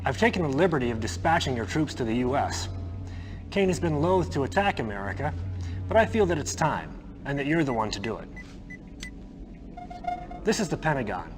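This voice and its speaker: A man acts out an ambitious villain's lieutenant in a game cutscene. He is smooth and flattering, with a very animated pitch that rises and falls as he schemes conspiratorially. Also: a little fast, pauses often, faint music behind, natural voice, rough recording. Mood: scheming, eager. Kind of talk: video game cutscene acting.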